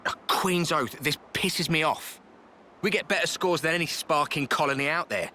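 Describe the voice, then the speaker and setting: A man with a British accent voicing a colloquial, grousing low-ranking soldier in a video game. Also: normal speed, sharp tone, a little hiss or hum. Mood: grumbling. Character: grumbling, low-ranking male soldier, nostalgic and deferential. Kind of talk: scripted video game voice acting.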